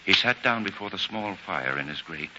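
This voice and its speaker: A man narrating a scripted story in a measured 1940s radio style. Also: low voice, expressive, heavy background noise. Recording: muffled old radio broadcast, loud music.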